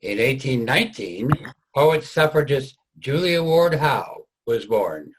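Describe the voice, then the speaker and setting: A man giving scripted historical narration in a formal, measured way. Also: medium pitch, expressive, slight background noise, poor audio.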